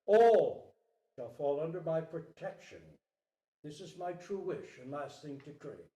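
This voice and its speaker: A man's voice: fairly high pitch, expressive, a little slow, warm tone. A man voicing a majestic human king, proud but merciful, in a formal, declamatory storybook reading. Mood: proclaiming. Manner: grand, declamatory, formal decrees. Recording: roomy echo, distant microphone.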